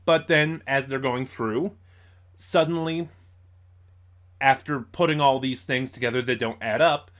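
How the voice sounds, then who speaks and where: A man giving an informal spoken review as host, in a halting way, stumbling over words and correcting himself. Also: mid-range voice, a bit bright.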